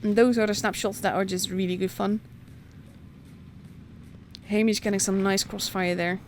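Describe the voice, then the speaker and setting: A woman's voice: medium pitch, fairly even intonation, moderate pace, a little hiss or hum. A woman with a Dutch accent giving casual, chatty live commentary on a game as a stream host. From Netherlands. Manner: chatty, informal, reacting as play unfolds.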